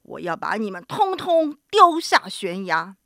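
A towering storybook bully of a giant, played by a woman. The voice bellows with menace, bright and hard-edged, stressing words in big animated swings. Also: mid-range voice, unhurried, creaky, no echo, clean studio sound, voice pushed down, full character voice. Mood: menacing. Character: huge, nasty, bullying giant man, roaring threats.

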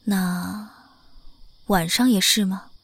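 A woman's voice: mid-range voice, steady tone, takes it slowly, gravelly, slightly rough, full character voice. A woman playing a composed, mature young mother in an audio drama, reserved and a little strict. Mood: probing. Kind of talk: modern audio drama acting.

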